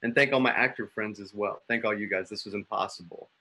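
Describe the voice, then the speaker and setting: A man's voice: fairly low pitch, quick pace, sharp tone, slightly rough, poor audio. A man talking casually and in a rambling, informal way on a video call. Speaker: writer and actor. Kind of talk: casual chat. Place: small room on video call.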